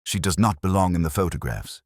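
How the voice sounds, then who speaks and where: A man voicing a cool, precise, commanding detective in a measured, deliberate audiobook performance. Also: sits low, lively intonation, no room sound, studio quality.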